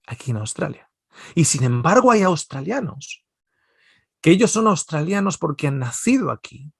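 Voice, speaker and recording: Middle-aged Spanish-accented man, seminar speaker, gravelly voice, dry close sound, lively and rambling. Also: on the high side, no room sound. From Spain, Basque Country. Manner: animated, digressive, many restarts and stammers. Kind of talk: religious seminar talk.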